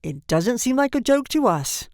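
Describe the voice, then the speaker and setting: A woman voicing a severe, insistent hospital nurse in a storybook, with a stern, brisk manner. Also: sits high, big pitch swings, dry recording, studio quality, voice pushed up, exaggerated voice.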